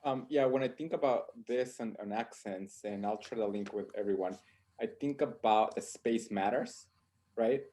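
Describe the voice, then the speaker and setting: A man's voice: on the low side, moderate pace, dark tone. A man speaking as a professor on a panel over a video call, reflective and often checking in with "right?". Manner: reflective, tags points with "right?".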